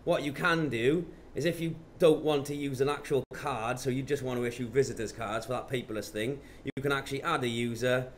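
A man, talking matter-of-factly through steps in an informal software tutorial. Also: medium pitch, steady tone, brisk, hardly any pauses. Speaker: presenter of product tutorials. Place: indoor office. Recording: clear background noise.

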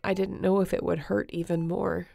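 Woman acting as a female space captain, low-pitched, very creaky, tender, earnest, sci-fi audio drama. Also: level tone, dark tone. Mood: confessional, sad. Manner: emotional, halting, earnest. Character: woman space captain, tender, guilt-ridden, devoted to her crewmate.

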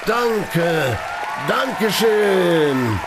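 A man's voice: high voice, big pitch swings, very slow, a loud crowd, very noisy, exaggerated voice. A man playing a grandiose, self-satisfied aristocratic financier in an audio drama, presenting with pompous ceremony. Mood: pompous, proud. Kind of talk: German audio drama acting.

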